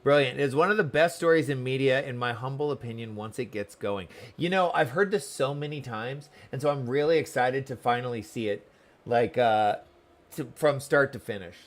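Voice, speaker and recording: A man's voice: mid-range voice, normal speed. A man live-streaming a game, chatting casually and welcomingly with viewers while commenting on the story, often repeating words.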